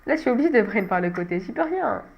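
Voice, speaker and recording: A woman's voice: on the high side, sing-song, fast, gravelly, lo-fi sound. A young woman with a cold-stuffed nose, chatting casually over a game she plays and often making fun of herself. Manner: chatty, self-mocking, laughs often. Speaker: gaming channel host. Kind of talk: casual gameplay commentary.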